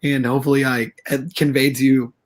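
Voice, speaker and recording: A man appearing as a guest in a casual interview, his voice hoarse from talking a lot, speaking in an easygoing way with fillers. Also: mid-range voice, little pitch movement, brisk. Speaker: guest, comic book creator.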